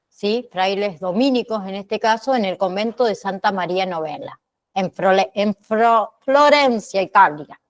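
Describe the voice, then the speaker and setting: A woman lecturing as a course teacher, often tagging her phrases with short questioning check-ins. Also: mid-range voice. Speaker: teacher of an online pharmacy assistant course. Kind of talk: online lecture, explaining history to students.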